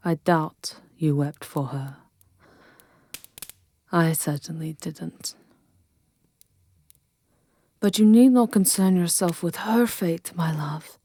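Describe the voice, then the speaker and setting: A woman's voice: lowish voice, warm tone, no room sound, clean studio sound, exaggerated voice. A woman playing an ancient, possessive vampire lover in an intimate ASMR roleplay, haughty and often whispering. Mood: cold, dismissive. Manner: slow, breathy, haughty, often dropping to a whisper.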